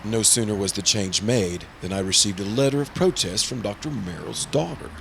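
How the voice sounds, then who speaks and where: A man's voice: on the low side, normal speed, gravelly, fairly bright tone, a little hiss or hum. A man playing a prim, earnest, easily flustered insurance broker in old-time radio drama style. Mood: worried, explanatory. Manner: prim, formal, exasperated. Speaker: supporting actor in a radio play.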